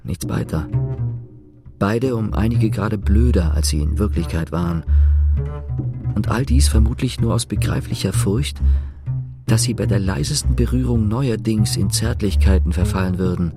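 A deep-voiced actor as a male narrator tells a literary story for a radio play. He is composed and deliberate, keeping an observer's distance. Music plays clearly beneath his voice. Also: close to an everyday voice. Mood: detached, reflective.

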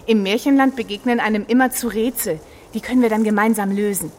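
An actress playing a chatty, plucky book reporter and balloon adventurer, hosting a children's radio play in a lively, theatrical manner. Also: female voice, medium pitch, fast talker, a little hiss or hum, lightly acted. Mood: chatty, adventurous. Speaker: host of a children's audio series.

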